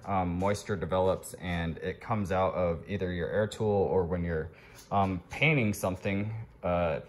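A man vlogging casually and informally, walking viewers through a hands-on DIY project. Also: on the low side. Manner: informal, addressing viewers as guys. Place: home garage workshop. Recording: handheld recording with clear background noise.

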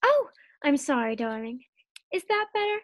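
A woman's voice: high-pitched, sing-song, normal speed, no echo, clean studio sound. A woman playing a sassy, playful, easily exasperated wife in an old-time radio sitcom style. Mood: coaxing, playful.

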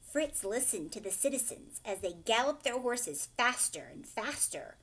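A woman in her thirties reading a children's picture book aloud expressively, pausing to explain and ask questions like a teacher. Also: a little high, very animated, a bit bright. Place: furnished living room, indoors.

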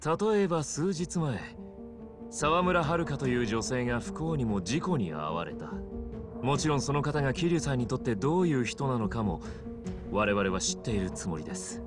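A man's voice: mid-range voice, lively intonation, normal speed, over music, close to an everyday voice. An actor playing an elegant, vain male fighter and club owner in a video game, speaking with polished, formal theatricality. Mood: probing, smooth. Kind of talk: dramatic video game acting.

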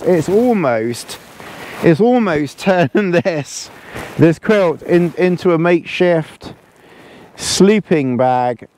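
A man's voice: on the high side, a little mellow, slight background noise. A man, casually reviewing camping gear while lying in a tent, rambling and repeating himself. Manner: chatty, rambling, repeats words, chuckles. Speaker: outdoor gear vlogger. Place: inside a small tent, lying in a sleeping bag.

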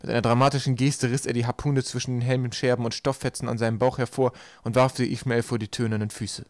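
A man's voice: medium pitch, level tone, quick pace, no room sound, studio quality. A man reading scripted storybook narration as an audiobook narrator.

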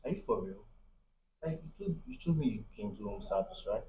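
A man's voice: lowish voice, animated, a little fast, mellow, natural voice. Guy acting as a sleazy pushy nigerian client, voice swings up and down, keeps coaxing, echoey room. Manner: insistent, wheedling, repeats himself. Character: pushy, lecherous male client pestering a visiting female barber. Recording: roomy, distant mic, faint noise.

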